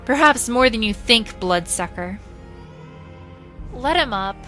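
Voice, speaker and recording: A woman's voice: a little high, lively intonation, slow pace, soft background music, exaggerated voice. A woman playing a tough, principled human vampire hunter in a fantasy audio drama, commanding and taunting. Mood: scornful. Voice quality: bright, forceful.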